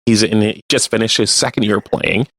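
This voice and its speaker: Low-voiced man, casual sports chat. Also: steady tone, moderate pace, a bit bright, no room sound, clean studio sound. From Canada. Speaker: radio co-host.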